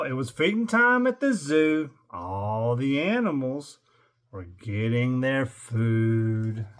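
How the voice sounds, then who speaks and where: Older male storyteller, unhurried and highly animated, playful read-aloud with wry asides. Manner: playful, stretches words theatrically, drops into wry muttered asides. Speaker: grandfather reading a picture book aloud.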